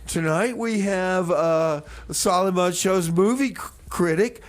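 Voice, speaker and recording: A man acts as a music radio host with a slightly high voice. He rambles casually through comic banter and gets easily sidetracked. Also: slow. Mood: casual rambling.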